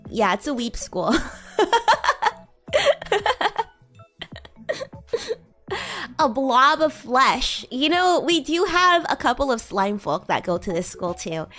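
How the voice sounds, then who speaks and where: A woman's voice: fairly high pitch, sing-song, unhurried, sharp tone, faint music behind, exaggerated voice. A woman voicing a bratty, haughty young demon class president in a livestream roleplay, teasing and condescending as she improvises. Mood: playful, teasing. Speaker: VTuber streamer playing a roleplay character.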